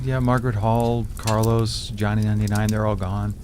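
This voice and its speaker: A man's voice: lowish voice, flat delivery, moderate pace, darkish tone, a little hiss or hum, close to an everyday voice. A man playing an anxious, earnest small-town cat owner with a theatrical, poetic streak in a comedic audio drama. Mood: downcast. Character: anxious, poetry-reciting small-town man seeking his lost cat.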